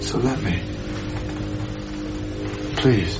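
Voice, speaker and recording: An actor playing a troubled, haunted young lodger in a radio drama, speaking in a hesitant, tender way over music in a slightly muffled broadcast. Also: male voice, fairly low pitch. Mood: gently pleading. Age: young adult. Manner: hesitant, brooding, halting. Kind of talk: radio drama acting, intimate monologue.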